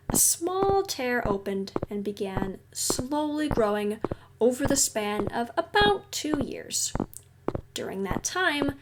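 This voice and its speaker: A woman playing a caring, reassuring counselor at a secret base in an audio roleplay, speaking gently and explaining things soothingly. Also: fairly high pitch, heavy background noise. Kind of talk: audio roleplay addressed to the listener.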